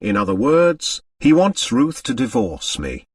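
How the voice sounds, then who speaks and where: A wry, nonchalant gentleman played by a male narrator talks casually in storybook dialogue. His medium pitch moves freely, and the recording is dry and slightly distant. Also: wildly swooping pitch, measured pace, no room sound. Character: nonchalant, wry gentleman in money and marriage trouble.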